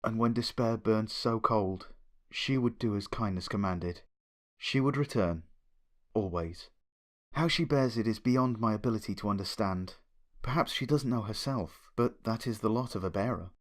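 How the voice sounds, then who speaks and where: A man's voice: lowish voice, normal speed. A man reading a story aloud as a dramatic, scripted first-person narration. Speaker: fan fiction reader, narrator.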